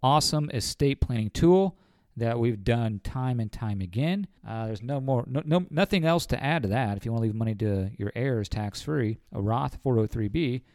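A man's voice: mid-range voice, animated, moderate pace, a little mellow, no room sound, clean studio sound. A man in his forties with a cold, a financial advisor explaining retirement savings on a podcast in a folksy way, often calling listeners folks. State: has a cold.

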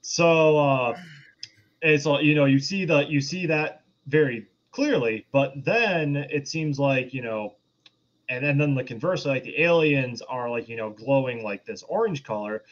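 A man's voice: medium pitch, measured pace. Man rambling through explanations, slightly dull audio. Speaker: podcast co-host. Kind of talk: casual film discussion.

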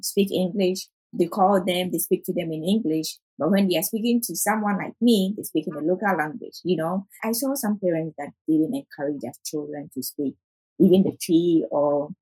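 Casting: woman, African accent, lowish husky voice, earnest and conversational. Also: darkish tone, no echo, low-quality recording. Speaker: panel discussion participant. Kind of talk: conversational personal reflection.

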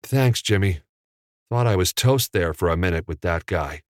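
A man's voice: deep voice, lively intonation, normal speed, no room sound, studio quality. A man voicing a nervous, starstruck film editor in an audiobook, hesitant and apologetic. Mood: relieved. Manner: stammering, apologetic. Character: nervous, starstruck male trailer editor, flustered drifter.

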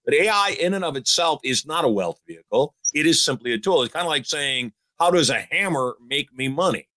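A man's voice: on the high side, animated, a little fast, sharp tone, no room sound. A man in his sixties giving upbeat, emphatic advice as a show host. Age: 60s. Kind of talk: motivational call-in advice.

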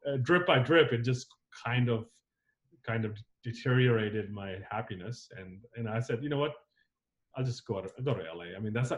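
A man's voice: medium pitch, lo-fi sound. A man in his thirties, born in Zambia and raised on the US East Coast, talking reflectively as an interview guest, with many fillers and restarts. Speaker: filmmaker guest. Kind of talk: casual interview conversation.

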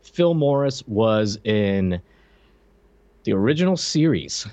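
A man's voice: on the low side, big pitch swings, takes it slowly, mellow, dry recording. A man co-hosting a casual pop-culture podcast, talking in a hesitant, rambling way with joking asides. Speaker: podcast co-host.

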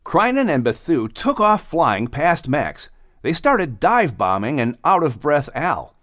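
A man working as announcer and narrator, giving animated scripted storytelling for children. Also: on the high side, measured pace, no echo, studio quality.

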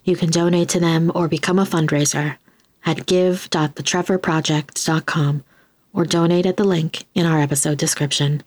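A woman's voice: deep voice, steady tone, normal speed, darkish tone, no echo. A woman reading scripted narration as a podcast announcer.

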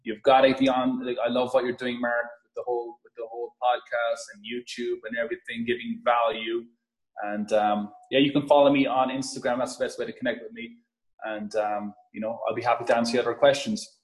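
A man from Ireland with an Irish accent, a podcast guest talking up his app in quick, eager bursts full of restarts and fillers. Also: little pitch movement, rough recording. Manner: quick, enthusiastic pitch with many restarts and fillers. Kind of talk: casual interview talk.

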